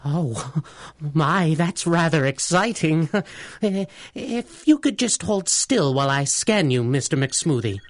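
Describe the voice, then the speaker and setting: A man's voice: a little high, big pitch swings, unhurried, hardly any pauses, no echo. An actor playing a mild-mannered, curious male scientist who speaks formally and hesitantly in a 1930s-style radio adventure. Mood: polite, intrigued. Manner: hesitant, courteous, formal wording.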